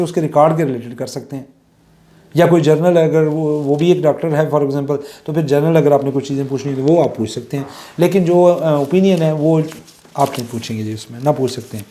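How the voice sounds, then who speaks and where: A Pakistani-accented man speaks as a law lecturer in a fairly high, very mellow voice. He teaches informally, blending in English legal vocabulary. When he reads citations, he becomes slower and more deliberate. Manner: mixes Urdu with English legal terms, slows down when reading citations. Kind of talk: informal lecture, reading out English judgments and explaining them.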